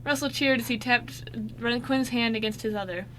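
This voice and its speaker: Female radio-drama narrator, higher voice, occasional stumbles, faint noise behind. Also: moderate pace, fairly bright tone, low-quality recording.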